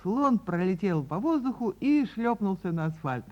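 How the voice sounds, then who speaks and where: A woman reading a literary fairy tale as a theatrical radio storyteller, with dramatic pauses and drawn-out words. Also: mid-range voice, big pitch swings, dark tone, muffled recording.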